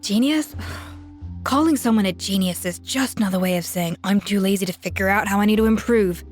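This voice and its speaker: A woman's voice: medium pitch, moderate pace, faint music behind, natural voice. An actress playing a proud, exacting young woman chef in a video game, speaking in a firm, articulate, self-assured way. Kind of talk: video game cutscene voice acting.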